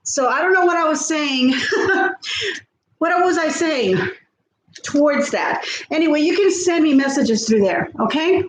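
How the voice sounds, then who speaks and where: A woman's voice: sits high, lively intonation, measured pace, pauses often, reverberant, slight background noise, rough recording. A woman in her fifties from South Texas with a Texan accent, chatting on a live cooking stream, rambling in a friendly way. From South Texas, USA. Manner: rambling, friendly, often laughs and trails off. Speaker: cooking vlogger on a livestream. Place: home kitchen.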